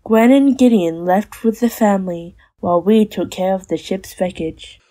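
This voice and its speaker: A woman's voice: mid-range voice, normal speed, dark tone, lightly acted. A woman playing a sympathetic character recounting an adventure in an audio drama. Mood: storytelling.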